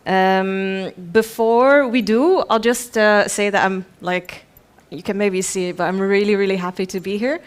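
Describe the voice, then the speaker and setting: A woman's voice: medium pitch, fairly even intonation, measured pace, clear, resonant tone. A woman in her thirties from Belgium giving an informal conference presentation in a chatty, self-deprecating way. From Belgium, grew up in Bruges. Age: 30s. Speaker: conference speaker, head of product.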